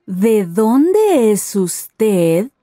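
A woman acts out a friendly female stranger chatting with a tourist in a language course. She speaks at a middling pitch, very slowly and clearly enunciated, in a dry, close recording. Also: sing-song, mellow, no room sound, exaggerated voice. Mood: friendly, curious. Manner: deliberate, carefully enunciated. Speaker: voice actor in language lesson dialogues. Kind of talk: scripted learner dialogue, each phrase said slowly then at normal speed.

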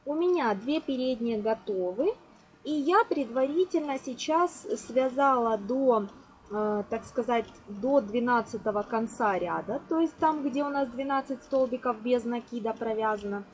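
A woman giving a step-by-step craft tutorial in a reassuring, instructional way, often counting aloud. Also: fairly high pitch. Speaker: crochet tutorial host. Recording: noisy home recording.

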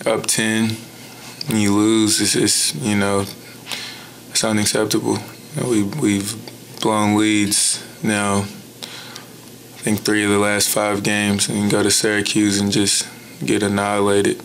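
Young male athlete, low voice, monotone, blunt and measured at a press table mic. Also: slight background noise, poor audio. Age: young adult. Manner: measured, even, blunt, frequent "you know". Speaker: college basketball player. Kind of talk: postgame press conference answers. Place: indoor press room. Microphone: table mic in front.

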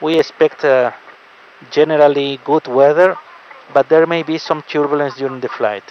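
A man's voice: fairly high pitch, steady tone, extremely slow. A man speaking as an airline captain, giving a formal in-flight announcement over the cabin PA. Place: aircraft public address system. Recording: muffled cabin PA with noise. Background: cabin noise.

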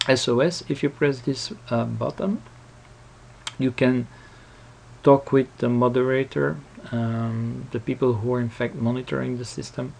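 Man, tutorial presenter, hesitant, unhurried pace, vocal fry. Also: mid-range voice, fairly even intonation, a little mellow, a little hiss or hum. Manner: hesitant, halting explanation. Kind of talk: casual unscripted screen-recording walkthrough.

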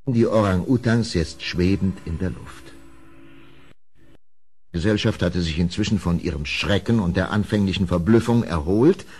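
A man's voice: lowish voice, darkish tone. A man narrating a horror audio play as dramatic scripted storytelling.

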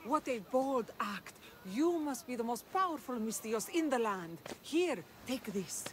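Older village leader in a game, solemn, higher voice, faint outdoor noise. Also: female voice, lively intonation, a little slow. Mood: grateful. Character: grieving older village leader woman of ancient Greece.

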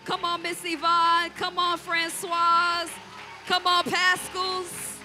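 A woman's voice: very high voice, monotone, slow. A woman pastor hosting a church service on a handheld mic, exuberant, calling out to the congregation for responses. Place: church stage, indoor. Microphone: handheld microphone. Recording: live church audio with music behind.

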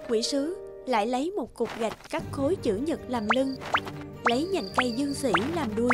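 A woman's voice: high voice, expressive, normal speed. A woman reading scripted fairy-tale narration for a cartoon. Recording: loud music under the voice. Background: loud background music.

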